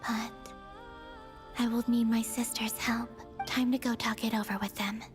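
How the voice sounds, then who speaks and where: A woman playing a gentle, pensive, otherworldly young maiden in a video game monologue. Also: a little high, little pitch movement, moderate pace, music in the background, close to an everyday voice.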